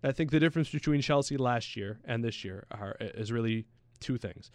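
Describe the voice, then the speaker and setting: A man's voice: medium pitch, normal speed, dry recording. A man chatting casually about sports as a pundit, in a slangy, anecdotal way. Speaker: soccer pundit on a talk show.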